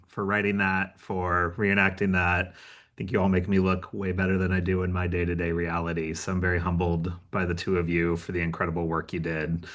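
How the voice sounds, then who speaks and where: A man's voice: sits low, a bit bright. A man giving a humble, informal thank-you speech as an award recipient. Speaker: award recipient, university staff member.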